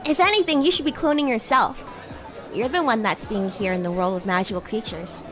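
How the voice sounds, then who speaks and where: Woman playing a high-pitched bratty demon schoolgirl. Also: fairly quick, soft background music, some chatter behind, lightly acted. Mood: smug, teasing. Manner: teasing, bratty, hesitant with flustered stammers. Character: bratty young female demon schoolgirl, teasing and flustered. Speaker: VTuber streamer playing a character. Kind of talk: improvised roleplay livestream, chatting with viewers.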